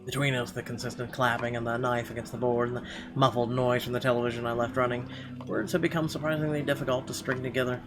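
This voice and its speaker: A man's voice: mid-range voice, level tone, fast talker. A man playing a visual novel, reading its text aloud expressively with casual commentary. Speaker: let's player reading game text aloud. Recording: guitar game music behind the voice. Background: guitar game music.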